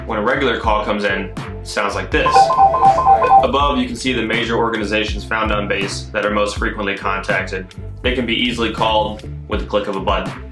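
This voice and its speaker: Low-voiced man narrating in an echoey room. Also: fairly even intonation, a little fast. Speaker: military fire dispatcher. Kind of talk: scripted explainer narration. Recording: echoey room, music behind.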